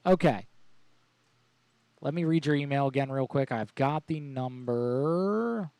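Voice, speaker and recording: A man's voice: medium pitch, expressive, unhurried, creaky, a little mellow, dry recording. A man casually bantering as host of a live prank call show, with crude, slangy joking.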